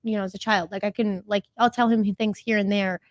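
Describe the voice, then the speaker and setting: A woman's voice: mid-range voice, steady tone, fairly quick, no echo. A woman in a casual group chat, blunt and candid, swearing offhandedly.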